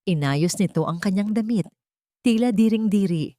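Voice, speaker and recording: Isang babaeng nagsasalaysay ng madramang kuwento mula sa nakasulat na iskrip. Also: female voice, medium pitch, moderate pace, dark tone, dry recording, clean studio sound. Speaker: story narrator. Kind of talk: scripted dramatic story narration.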